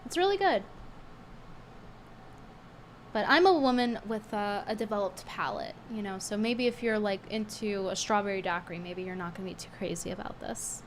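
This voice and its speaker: A woman's voice: medium pitch, animated, fairly quick, hardly any pauses, a little hiss or hum. A woman streamer chatting bluntly with viewers, her voice strained from a cold and hard to get out. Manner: blunt, scornful and chatty, reading tips aloud. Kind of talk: casual livestream chat.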